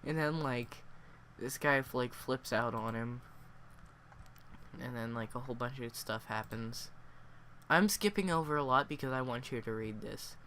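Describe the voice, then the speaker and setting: A man with a cold, sniffling and hoarse, reviewing a story in a casual, rambling way full of fillers. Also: mid-range voice, measured pace, sharp tone, slight background noise. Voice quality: hoarse, worn voice.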